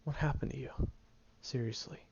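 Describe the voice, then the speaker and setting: A man voicing a grumpy tsundere teenage boy who is gruff but caring, grumbling, sighing and muttering half-whispered asides in an audio roleplay. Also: normal speed, muffled recording, lightly acted. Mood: gruff concern.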